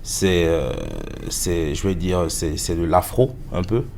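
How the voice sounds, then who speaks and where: A man in his thirties, a musician answering interview questions in a hesitant way, with many pauses and fillers. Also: low-pitched, fairly even intonation, a little mellow, slight background noise. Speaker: musician guest.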